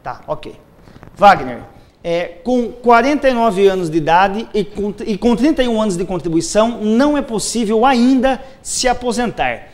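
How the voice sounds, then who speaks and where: A man's voice: very high-pitched, darkish tone. A man working as a social security lawyer, methodically explaining pension rules step by step on a live TV program. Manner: methodical, lays out cases step by step.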